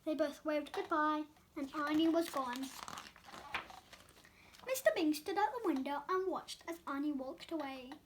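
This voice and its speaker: A woman's voice: high-pitched, measured pace, background noise. A woman storyteller reading a children's picture book aloud with expression.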